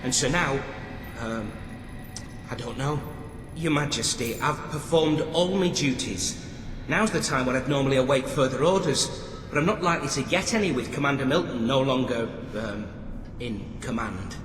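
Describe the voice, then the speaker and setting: An actor playing a dutiful, eager, slightly awkward prison guard in a video game, deferential and earnest. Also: male voice, medium pitch, expressive, normal speed, natural voice. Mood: unsure, sheepish. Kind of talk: video game character acting. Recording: echoey hall with noisy ambience.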